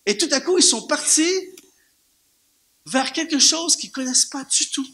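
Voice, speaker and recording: A man with a Canadian French accent preaching an animated sermon to a church congregation, firing off rhetorical questions and repeating words for emphasis. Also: very high-pitched, unhurried, slight background noise. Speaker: guest preacher. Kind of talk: lively sermon.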